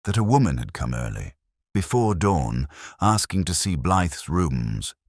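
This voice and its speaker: Man as a very deep-voiced, weary detective narrator. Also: big pitch swings, no room sound, clean studio sound, heavily acted. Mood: weary, pensive. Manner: measured, literary, brooding. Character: weary, pensive male detective inspector narrating his case. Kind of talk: scripted first-person mystery narration.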